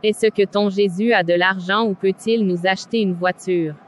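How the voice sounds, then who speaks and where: A performer playing a pushy, dismissive young woman headed out partying, in a scripted animated drama. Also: mid-range voice, normal speed, lightly acted. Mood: scornful. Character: brash young female party-goer, mocking, pushy. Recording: clearly noisy background.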